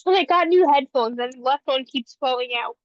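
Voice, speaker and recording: A woman's voice: sits high, fairly quick, no room sound. A woman chatting casually as a podcast co-host, a little sniffly from a runny nose. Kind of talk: casual chat. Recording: slightly dull, lo-fi audio.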